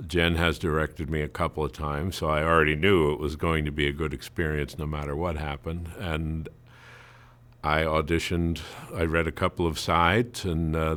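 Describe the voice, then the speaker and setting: A man's voice: extremely low pitch, a bit bright. A middle-aged or older man, a local theater actor, telling his story in an interview.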